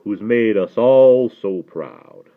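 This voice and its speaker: A man acts out a learned Southern rabbi in a storybook reading, courtly, warm and confiding. He speaks with grand, deliberate formality in a low male voice, over a narrow phone-like recording. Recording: thin, phone-like narrow band.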